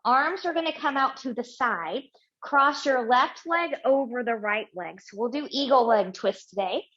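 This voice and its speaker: Gentle yoga teacher woman, muffled sound. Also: on the high side. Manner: slow, gentle cueing with repeated affirmations. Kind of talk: guided yoga class instruction. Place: small home yoga studio, indoors.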